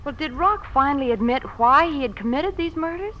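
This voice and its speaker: An actress playing an eager, loyal companion to a crime-fighter in an old-time radio drama, inquisitive and crisp in a theatrical way. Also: female voice, high-pitched, lively intonation, a little fast. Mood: bright, curious. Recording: dull, very noisy old radio recording.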